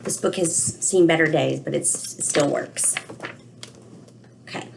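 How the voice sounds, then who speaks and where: A woman's voice: low-pitched, gravelly, noisy background. Woman teaching young children expressively. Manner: expressive, explanatory, asks questions and pauses. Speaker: teacher reading a picture book to children. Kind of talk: read-aloud lesson with questions to young listeners.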